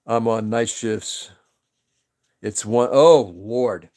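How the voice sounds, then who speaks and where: A man's voice: medium pitch, drawn-out words, darkish tone. Chatty man hosting a hobby livestream. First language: Greek. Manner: rambling, chatty, greets viewers by name. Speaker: livestream host. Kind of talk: casual livestream chat.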